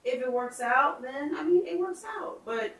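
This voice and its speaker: A woman's voice: high-pitched, normal speed. Female, 30s, podcast co-host, earnest casual opinions, mic far off in a roomy space. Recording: echoey room, far from the mic.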